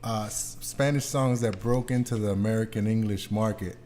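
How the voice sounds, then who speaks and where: A man's voice: fairly low pitch, fairly even intonation, normal speed, mellow, a little hiss or hum. A man chatting casually as a podcast host in a conversational way.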